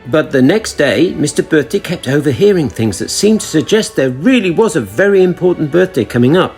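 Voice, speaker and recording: A man narrating a children's storybook in an expressive, deliberate storytelling manner. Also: on the high side, faint music behind.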